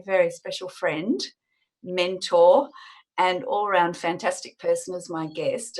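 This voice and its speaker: Woman, radio host, upbeat, enthusiastic, quick to laugh. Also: fairly low pitch, rough recording. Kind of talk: radio interview.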